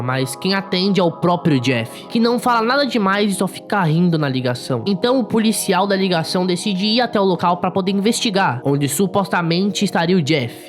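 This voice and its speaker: Brazilian female narrator, slightly low pitch, informal horror storytelling. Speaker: video narrator.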